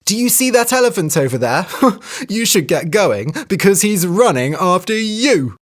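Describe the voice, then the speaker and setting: A storyteller voicing a wily female hare who sweet-talks and tricks others, in a raised, lively voice. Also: mid-range voice, takes it slowly, voice pushed up. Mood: urgent, cheeky. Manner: sweet-talking, sly, bright and loud.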